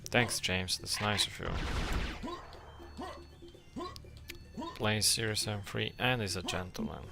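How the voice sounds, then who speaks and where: Serbian-accented male streamer, low and gravelly, chatty, music underneath. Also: slow pace. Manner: chatty, reads and thanks viewers, laughs often. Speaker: game streamer. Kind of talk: casual live-stream chat while gaming.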